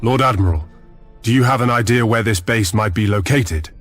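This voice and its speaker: An actor playing a resolute, dutiful space navy admiral in a video game, speaking formally and firmly. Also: male voice, on the low side, moderate pace, fairly bright tone. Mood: firm, measured. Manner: formal, firm military speech. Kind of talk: video game cutscene acting. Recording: music underneath.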